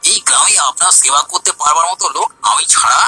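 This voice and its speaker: A man playing a scheming, pushy village man in a cartoon story, a flatterer and bully. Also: on the high side, quick pace, creaky, background noise. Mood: flattering, sly. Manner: wheedling, pushy. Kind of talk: Bengali cartoon story dialogue.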